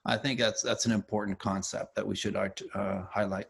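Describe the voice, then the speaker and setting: A man interviewing conversationally, summarizing and asking questions in a wordy, halting way as he rephrases himself. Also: lowish voice, level tone, gravelly, slightly rough.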